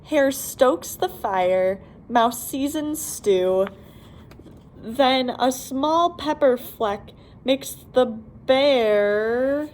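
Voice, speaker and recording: A woman reading a picture book aloud to young children in an expressive, deliberate, animated way. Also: high-pitched. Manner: animated, deliberate, addresses listeners with questions. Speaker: children's storybook reader.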